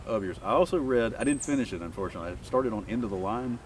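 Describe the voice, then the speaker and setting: A man's voice: fairly low pitch, expressive, very fast. A man hosting an interview, eagerly affirming his guest and summing up the lessons. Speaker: interview host. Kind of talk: casual interview. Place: outdoors at a rural gathering. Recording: distant mic in a noisy outdoor setting.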